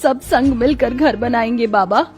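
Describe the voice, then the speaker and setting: Woman acting an earnest, high-voiced poor village woman. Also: unhurried, a little mellow, husky, faint music behind. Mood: resolved. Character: women of a poor village family, earnest, caring. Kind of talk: melodramatic story voice acting.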